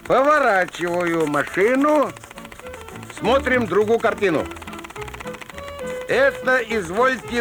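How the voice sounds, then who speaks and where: High-pitched, swooping fairground showman. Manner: drawn-out, declamatory, playful sing-song. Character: theatrical male fairground peepshow barker telling rhymed folk tales. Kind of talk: rhymed Russian folk-tale showman patter.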